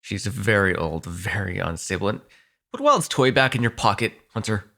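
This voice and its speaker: Man acting a low-voiced, protective vampire. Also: expressive, creaky, pushed and bright, heavily acted. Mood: anxious, explaining. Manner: intimate, halting, swearing, sighing. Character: frantic, protective male vampire, foul-mouthed and sardonic. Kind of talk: ASMR audio roleplay acting.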